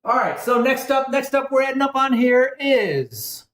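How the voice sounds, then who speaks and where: A man's voice: extremely high pitch, sing-song, measured pace, fairly bright tone. Chatty man in an echoey kitchen. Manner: chatty, rambling, self-correcting. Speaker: cooking show host. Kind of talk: casual cooking demonstration.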